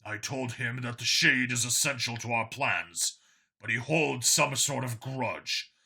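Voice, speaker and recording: A man's voice: medium pitch, measured pace, vocal fry, pushed and bright, slightly rough, exaggerated voice. A man voicing a deferential alien satyr soldier in an audiobook, formal, obedient and a little hesitant. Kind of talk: audiobook character voice.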